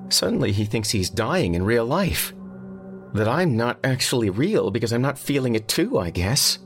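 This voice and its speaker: Frightened woman in audiobook fiction, performed by a man: shaken, sniffling, raised pitch. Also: sing-song, normal speed, soft background music.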